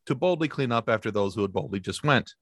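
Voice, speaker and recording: A man guesting on a podcast, telling stories in a lively chatty way with quick asides and restarts. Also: mid-range voice, heavy background noise. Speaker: podcast guest, sci-fi podcast co-host. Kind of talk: casual interview chat.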